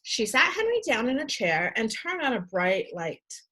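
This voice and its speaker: A woman reading a storybook aloud to children in an expressive storyteller's style. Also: on the high side, normal speed, breathy edge. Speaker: read-aloud host and storyteller.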